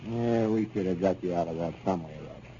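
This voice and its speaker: A man's voice: low voice, fast talker, mellow, natural voice. An actor playing an exasperated, folksy storekeeper with a rural Arkansas Southern accent, fussing at his partner in a 1930s radio serial. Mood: exasperated scolding. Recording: dull, noisy 1930s radio recording.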